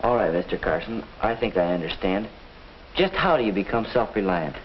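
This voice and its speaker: A young actor playing an earnest, eager high school student in a 1950s instructional film. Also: male voice, expressive, brisk, lightly acted. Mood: earnest, unsure. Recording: muffled old film soundtrack, faint noise.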